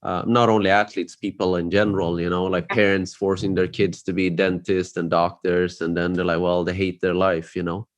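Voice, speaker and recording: Man, 30s, from Sweden, low voice, podcast host, rambling questions. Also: flat delivery, moderate pace, few pauses. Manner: rambling questions with many fillers. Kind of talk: conversational interview questions.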